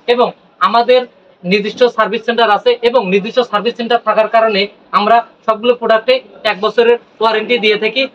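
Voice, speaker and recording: A man's voice: high-pitched, a bit bright. A man with a Bangladeshi accent giving an informal product demonstration as a shop presenter, explaining things repetitively. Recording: dull lo-fi sound with faint noise.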